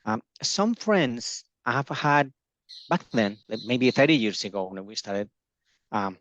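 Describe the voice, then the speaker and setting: A middle-aged man originally from Colombia, an education agency founder talking in an interview, pausing often with fillers and restarting his sentences. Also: medium pitch, sing-song, a bit bright, dull audio. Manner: hesitant, many fillers and restarts. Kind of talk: casual video-call interview.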